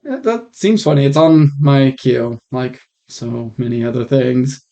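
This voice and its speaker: Man chatting on a dull, rough mic. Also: medium pitch, measured pace, dark tone. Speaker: podcast co-host discussing films. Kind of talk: casual chat.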